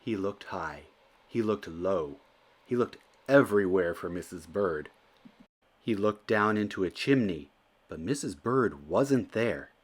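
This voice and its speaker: A man reading a children's storybook aloud as narrator, in an expressive and deliberate storytelling manner. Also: sits low, slightly rough. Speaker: children's book reader.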